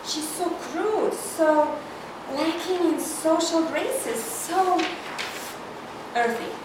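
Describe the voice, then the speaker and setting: A woman's voice: very high voice, extremely slow, full character voice. A woman playing a haughty, ambitious, wealthy older sister in a live screenplay reading. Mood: disdainful. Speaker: actor. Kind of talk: cold-read screenplay scene reading. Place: large room at a live staged reading. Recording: echoey hall, distant mic, noisy.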